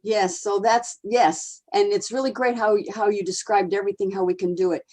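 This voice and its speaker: A woman hosts an interview in an agreeable, affirming way. Her pitch sits in a medium range. She keeps her remarks short and encouraging. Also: steady tone, normal speed, mellow. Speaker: interview host. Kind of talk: interview hosting.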